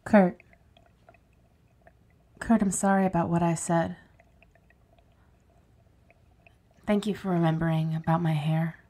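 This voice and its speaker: A woman's voice: lowish voice, flat delivery, normal speed, warm tone, natural voice. An actress playing a bitter, wounded woman kept alive as a severed head in an audio drama, with a cutting, sarcastic delivery. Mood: softened.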